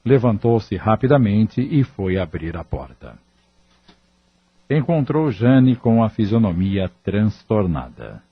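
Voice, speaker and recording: A man narrates an audio drama from a script. His voice is low and somewhat breathy, and the recording sounds a little dull. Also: very animated, slow pace, no room sound.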